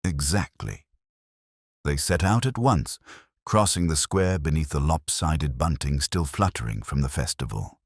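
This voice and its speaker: Deep husky male mystery narrator. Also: expressive, no room sound, studio quality. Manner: measured, storytelling.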